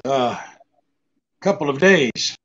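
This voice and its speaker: An actor playing a tough, wry private detective, hard-boiled and wisecracking, in a 1940s-style radio drama. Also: male voice, medium pitch, animated, slow, a bit bright, muffled recording. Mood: wary. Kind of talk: 1940s radio drama acting with first-person narration.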